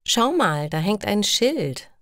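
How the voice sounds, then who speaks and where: A woman voicing a curious, daring schoolboy in a slow storybook reading for language learners. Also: mid-range voice, very animated, no room sound, clean studio sound, voice pushed up. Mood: eager. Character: curious, daring schoolboy Daniel, eager and cheerful. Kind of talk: acted dialogue in a learner's storybook.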